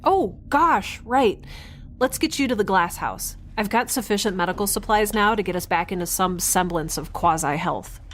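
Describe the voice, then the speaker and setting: A woman's voice: mid-range voice, expressive, a bit bright, slight background noise, natural voice. A woman playing a frazzled, wry young scientist in space, rambling and correcting herself as she talks in an audio drama. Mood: weary, anxious. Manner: halting, rambling, self-correcting. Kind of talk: audio drama, conversational log-diary monologue and dialogue.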